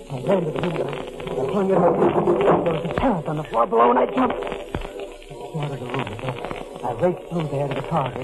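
An actor playing a tormented, paranoid doctor on the run in melodramatic 1940s radio suspense style. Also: male voice, medium pitch, natural voice. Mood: haunted, frantic narration. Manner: melodramatic, haunted, intense. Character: tormented, paranoid male doctor on the run, narrating his nightmare. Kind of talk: 1940s radio suspense acting, first-person narration and dialogue. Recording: noisy, crackling 1940s radio recording.

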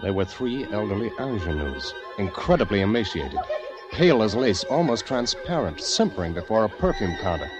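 An actor playing a nervous poet, telling his tale with halting intensity in a 1940s radio drama style. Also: lowish voice, sing-song. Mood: uneasy, suspenseful. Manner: hushed, deliberate, halting, intense. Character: nervous poet fleeing the world, haunted narrator. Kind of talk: 1940s radio acting, dramatic first-person narration. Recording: vintage radio drama with music behind. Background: music.

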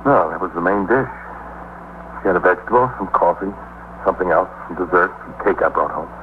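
A man's voice: deep voice, a little fast, natural voice. A man acting an anxious, evasive husband in a 1950s radio police drama, hesitant, repetitive and defensive. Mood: hesitant, explaining. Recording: muffled, noisy 1950s radio recording.

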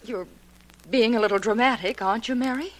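A woman's voice: a little high, a little fast, natural voice. An actress in a 1940s radio melodrama playing a proud woman under threat. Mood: guarded defiance. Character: threatened woman, haughty then desperately frightened. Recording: noisy old 1940s radio recording.

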